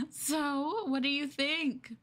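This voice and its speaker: A woman's voice: sits high, steady tone, drawn-out words, fairly bright tone, pitched above the performer's own voice, exaggerated voice. A woman playing an obsessive, possessive girlfriend in an ASMR roleplay, sweetly menacing and coaxing the listener in a cooing manner. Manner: cooing, coaxing, breaking into giggles and whispers.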